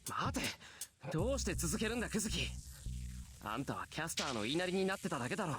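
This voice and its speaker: An earnest headstrong visual novel teen boy, urgent, really fast, music clearly behind. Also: fairly high pitch, very animated. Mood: urgent disbelief. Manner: urgent, insistent. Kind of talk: Japanese visual novel voice acting. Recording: game dialogue under music. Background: game music.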